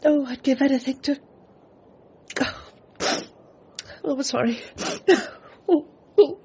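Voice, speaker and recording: An actress playing a grieving older mother in a radio drama, fond and fragile, speaking haltingly with emotion. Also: high-pitched, warm tone, highs cut off. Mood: tearful, wistful.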